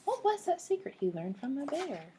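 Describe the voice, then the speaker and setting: A woman's voice: high-pitched, big pitch swings, moderate pace, dark tone, slight background noise. A woman teacher leading a children's read-aloud lesson, explaining in an encouraging way and asking guiding questions. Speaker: speech-language teacher.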